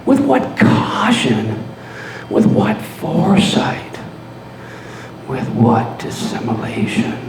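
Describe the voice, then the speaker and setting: Mad murderer narrator, gloating and defensive; extremely slow, dramatic delivery in a roomy space. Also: male voice, mid-range voice. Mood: manic, defiant. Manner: dramatic pauses, hushed whispers swelling into manic cries. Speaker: storyteller. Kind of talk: theatrical live story reading. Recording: roomy echo, clear background noise.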